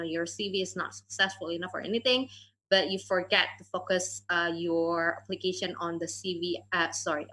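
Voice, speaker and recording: Woman hosting a q&a on a video call, bright clear voice, lots of um and uh. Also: fairly low pitch, poor audio. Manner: reads out questions and answers with frequent "um" and "uh". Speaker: moderator and education adviser. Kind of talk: moderating an online Q&A.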